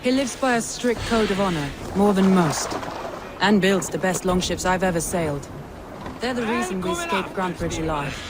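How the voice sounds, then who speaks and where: An actress voicing a proud, resolute Norse warlord in a video game cutscene, speaking in a measured, guarded way. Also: female voice, on the low side, expressive, noisy background, natural voice. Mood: earnest. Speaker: Norse lord of a city.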